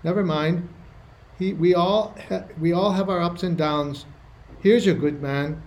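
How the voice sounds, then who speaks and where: A man's voice: sits high, steady tone, unhurried, darkish tone, low-quality recording. A man playing a kindly, chatty rustic housewife in an amateur radio play, with a homely, fussing manner. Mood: cosy, fussing. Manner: homely, fussing, folksy dialect.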